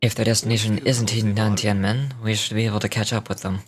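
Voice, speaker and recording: An actor playing a calm, learned gentleman investigator in a game, speaking in a measured, grave, formal way. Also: on the low side, slight background noise, close to an everyday voice. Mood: grave, composed. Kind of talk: game voice acting.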